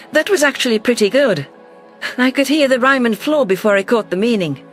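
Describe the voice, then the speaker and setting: A man's voice: extremely high pitch, normal speed, a bit bright, faint music behind, pitched above the performer's own voice. A man voicing an affectionate, earnest alien governor in a science fiction audio story, speaking in a heartfelt way. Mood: tender, teasing.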